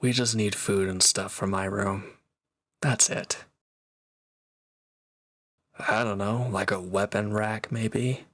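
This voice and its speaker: Gravelly, cocky reformed villain played by a man. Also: fairly low pitch, fairly even intonation, takes it slowly, long pauses, fairly bright tone, no room sound, studio quality. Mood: defensive, dry. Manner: cocky, teasing, talking directly to one listener. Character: proud, teasing reformed male villain, a battle-hardened swordsman. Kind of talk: scripted audio roleplay, intimate one-sided dialogue.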